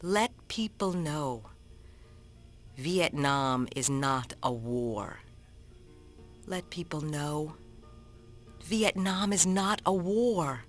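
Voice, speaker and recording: A woman narrator reads written reflections dramatically, in a solemn, deliberate manner with pauses between phrases. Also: deep voice, lively intonation. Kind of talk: dramatic reading of written commentaries. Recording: acoustic guitar music behind the voice. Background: plucked guitar music.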